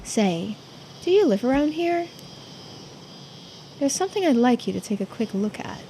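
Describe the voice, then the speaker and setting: A woman voicing a shrewd female police investigator in a mystery game, measured, polite and in charge. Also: on the high side, big pitch swings, warm tone, a little hiss or hum, poor audio. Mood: polite, probing.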